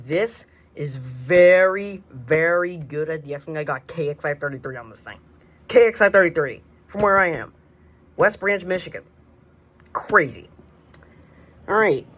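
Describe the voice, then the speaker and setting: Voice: mid-range voice, fairly bright tone. A hobbyist giving a casual, unscripted tour of a collection, rambling and hesitant, often trailing off, recorded at home. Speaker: radio collector presenting a collection. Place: indoors at home, by shelves. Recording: noisy amateur home recording.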